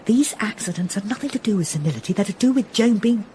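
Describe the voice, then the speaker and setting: An actress plays a no-nonsense, exasperated woman in middle age. Her pitch moves widely as she gives blunt, dry radio drama lines over faint background noise. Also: animated, fast talker, warm tone, lightly acted. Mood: curt, exasperated.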